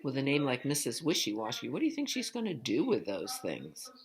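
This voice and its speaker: A woman's voice: extremely low pitch, huge pitch swings, fairly quick. A woman teacher reading a storybook aloud to young children, in an instructive way with questions for the listener.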